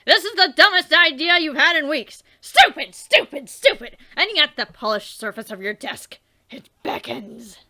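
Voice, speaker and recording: A young woman with a very high, bright voice reads a webcomic aloud in a theatrical way, her pitch jumping all over the place. She swears freely and drifts into offhand, rambling asides as she hosts a let's play. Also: expressive, moderate pace. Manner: theatrical reading, swears and trails off. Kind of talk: reading a webcomic aloud with commentary.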